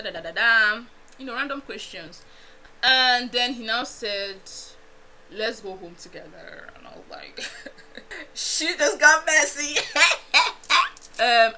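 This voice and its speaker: A young Nigerian woman with a Nigerian accent, chatting casually to her viewers in an animated storytime vlog, laughing often and making asides. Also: on the high side, slight background noise. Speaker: vlogger.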